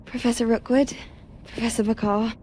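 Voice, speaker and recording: A woman's voice: fairly high pitch, little pitch movement, normal speed, a little mellow, slight background noise, lightly acted. A woman playing an earnest, polite teenage witch student in a video game. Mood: respectful, grateful. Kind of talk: video game cutscene acting.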